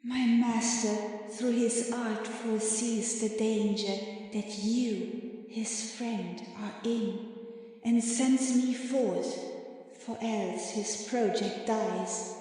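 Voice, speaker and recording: A woman's voice: on the high side, steady tone, very slow, warm tone, very echoey, long reverb tail, soft background music, poor audio. A woman voicing a loyal airy spirit with a lilting delivery in a dramatic Shakespeare audiobook reading. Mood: watchful. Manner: lilting, partly sung declamation. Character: invisible, loyal airy spirit serving a magician.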